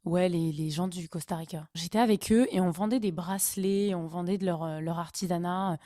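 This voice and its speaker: A woman's voice: fairly low pitch, a little fast, dark tone, no room sound, studio quality. A young woman from northern France, casually telling travel stories with chatty, laughing asides. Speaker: vlogger. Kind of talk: casual storytelling.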